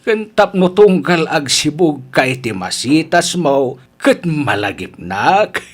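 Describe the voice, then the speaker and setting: A man acting as an eager, lovestruck older uncle in a comedy radio drama. He is husky and unhurried, with pitch that swings widely. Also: very animated, heavily acted. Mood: eager, wooing. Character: eager, smitten older uncle courting with potted plants.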